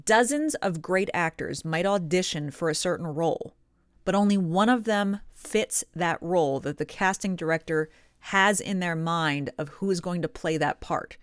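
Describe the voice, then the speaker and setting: A woman's voice: fairly low pitch, normal speed, smooth, dry recording, studio quality. A woman giving encouraging, explanatory advice straight to viewers as a video host, stressing her key points. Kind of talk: direct-to-viewer coaching talk. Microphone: close studio condenser microphone.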